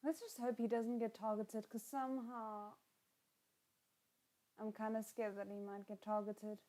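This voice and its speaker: A woman's voice: fairly high pitch, level tone, a little slow, mellow, rough recording. A woman hosting a live reaction stream, chatting casually with viewers in an informal, encouraging and self-deprecating way. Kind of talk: casual livestream chat with viewers.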